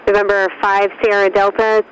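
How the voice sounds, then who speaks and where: A woman delivers clipped air traffic instructions over aviation radio. Her tone is flat and stern, with no wasted words. Faint noise runs through the transmission. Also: medium pitch, moderate pace. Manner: terse, stern.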